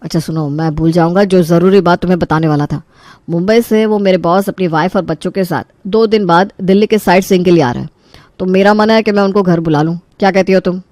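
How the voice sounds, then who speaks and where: Woman acting as young husband, easygoing, coaxing, casual, career-minded, animated story, dry close recording. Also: on the low side, quick pace, no echo, close to an everyday voice.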